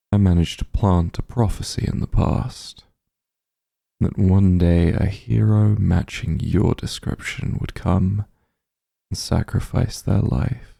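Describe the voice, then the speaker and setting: A man playing a possessive, doting immortal demon lord in an intimate ASMR roleplay, teasing and smug, speaking softly in a gravelly, breathy voice. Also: low-pitched, no room sound, studio quality, heavily acted. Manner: slow, soft, teasing coaxing.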